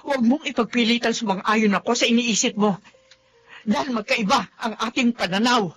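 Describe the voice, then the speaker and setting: A woman's voice: a little high, normal speed, faint music behind, lightly acted. A woman playing a stubborn mother who defends her love for a younger man, in a radio drama. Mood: defiant. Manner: defiant, stubborn.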